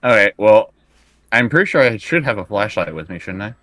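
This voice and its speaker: A man's voice: lowish voice, expressive, a little fast, fairly bright tone, dry recording. A man chatting casually as a tabletop roleplaying player, thinking aloud and working through his choices bit by bit.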